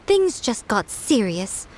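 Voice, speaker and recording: Game casting: sly yokai shrine maiden, poised and condescending, higher-than-average voice, playful sarcasm. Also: female voice, sing-song, very slow, noisy background, full character voice. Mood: indignant. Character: sly, elegant fox-spirit shrine priestess, haughty and teasing. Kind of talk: video game voice acting.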